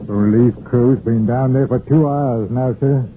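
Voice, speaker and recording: An actor playing a dutiful police sergeant reporting plainly in clipped sentences, in an old radio drama. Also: male voice, mid-range voice, steady tone, unhurried, dark tone, lightly acted. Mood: matter-of-fact. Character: dutiful, matter-of-fact police detective sergeant. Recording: muffled old radio broadcast, hissy.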